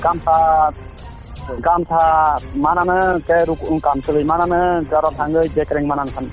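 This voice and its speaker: A man talking on a phone call in a halting way, repeating words and phrases. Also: fairly high pitch, steady tone. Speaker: caller. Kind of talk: phone conversation. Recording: muffled phone call recording, faint music behind.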